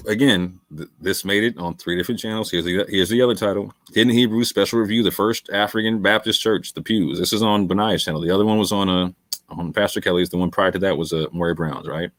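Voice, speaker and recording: A man hosting a late-night livestream, arguing his points with heavy repetition and calling the audience fam. His voice sits fairly low and sounds tired after hours on the air. The sound is close and dry, with no room echo. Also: a little fast. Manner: emphatic, repeats phrases, calls listeners "fam". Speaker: host of a debate talk show.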